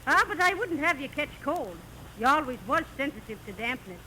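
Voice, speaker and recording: A quick-witted Irish wife who needles her husband with affection. Her accent is Irish and her style is 1930s radio comedy, caught on a noisy vintage recording. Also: high-pitched, big pitch swings, sharp tone, exaggerated voice. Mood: coaxing, fond.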